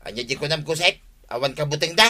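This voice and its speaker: An easygoing, teasing village husband in an Ilocano-accented radio comedy. His voice is fairly high and bright, with droll, hesitant interjections. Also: fast talker. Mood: puzzled. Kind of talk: radio comedy drama acting.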